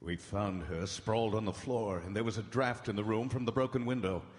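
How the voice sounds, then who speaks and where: A grave male doctor played by an actor recounts his journal with solemn, dramatic weight. The delivery is old-time radio horror, measured and anguished, in a reverberant hall. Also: lowish voice, close to an everyday voice. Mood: grave, horrified. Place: large hall stage before live audience. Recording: echoey hall, live stage broadcast.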